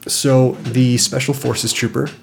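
A man's voice: medium pitch, level tone, unhurried, vocal fry, a little hiss or hum. A man narrating a tabletop role-playing game as game master, in an informal, conversational way. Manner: informal, conversational rulings and scene-setting.

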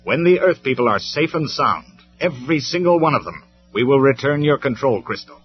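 A man's voice: mid-range voice, sing-song, fairly quick, voice pushed up, close to an everyday voice. A man voicing a noble robot commander in a storybook reading, speaking in a stern, formal, declamatory way. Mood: stern, harsh. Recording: dull lo-fi recording with music.

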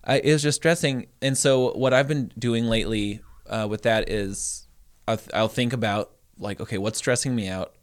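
A man co-hosting a podcast in casual talk, often halting as he searches for words. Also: fairly low pitch, clear, resonant tone. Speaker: podcast co-host.

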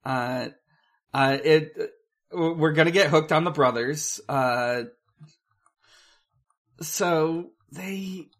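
A man's voice: on the high side, extremely slow, pauses often, fairly bright tone. A man co-hosting a podcast, talking casually about film in a hesitant way, thinking aloud as he goes. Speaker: podcast co-host.

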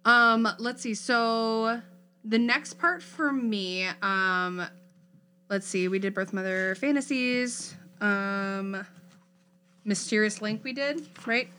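A woman's voice: fairly high pitch, slow, sharp tone. A young adult woman co-hosting a podcast, talking in a casual, reflective way, searching for words as she thinks. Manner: thoughtful, searching, many fillers. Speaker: podcast co-host.

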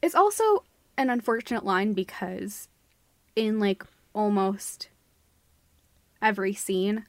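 A woman's voice: mid-range voice, big pitch swings, takes it slowly, long pauses, no echo. A woman co-hosting a casual, opinionated talk show, speaking in an emphatic, analytical way and swearing casually. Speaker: podcast co-host discussing a stage musical. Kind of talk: casual, opinionated critical discussion.

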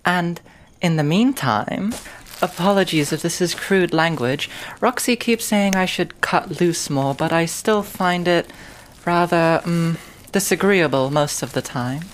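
A woman playing a gentle, earnest and caring friend in a fan audio drama, speaking in formal, measured, careful phrases. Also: fairly low pitch, a little hiss or hum, natural voice. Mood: polite, tentative.

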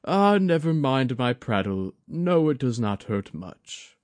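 A man voicing an older male wanderer, sly, guarded and measured in his politeness. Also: medium pitch, sing-song, dry recording, pitched above the performer's own voice.

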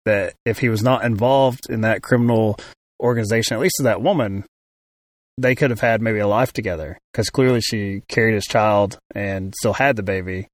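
A man's voice: fairly low pitch, normal speed, dry recording. A man co-hosting a casual film discussion, talking thoughtfully with frequent restarts and fillers. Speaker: film podcast co-host.

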